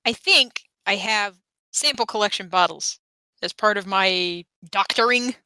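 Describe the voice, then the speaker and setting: A woman with a bright, forceful voice talks through her moves in a tabletop game. She is casual and playful in her wording, and the recording is dry and close. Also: mid-range voice, unhurried, no echo, low-quality recording.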